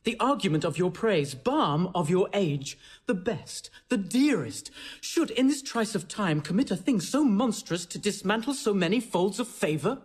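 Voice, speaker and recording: Husky, courtly foreign king. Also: sits high, sing-song, moderate pace, exaggerated voice. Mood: astonished, questioning. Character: courtly king of France, earnest and incredulous. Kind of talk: classical Shakespearean audio drama acting.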